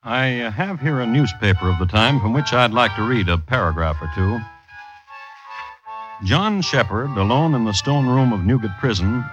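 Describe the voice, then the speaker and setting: A man narrating an old-time radio crime drama as a droll storyteller, measured, formal and dry. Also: mid-range voice, natural voice. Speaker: narrator and host. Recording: dull old radio recording, music behind.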